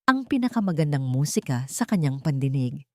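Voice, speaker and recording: Even-pitched, low-voiced woman narrating a story. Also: a little fast, no room sound, studio quality. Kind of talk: scripted dramatic story narration in Tagalog.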